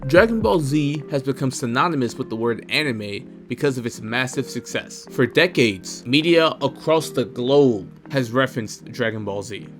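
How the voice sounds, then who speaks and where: A man's voice: mid-range voice, expressive, moderate pace, hardly any pauses. Young male video essayist, mid-range voice, scripted opinion commentary with faint music underneath. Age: young adult.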